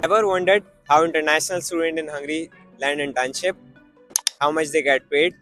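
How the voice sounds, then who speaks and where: A man's voice: a little high, steady tone, a little fast, a bit bright, soft background music. A man hosting interviews with students, asking short, direct questions. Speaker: interviewer host. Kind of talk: street interview.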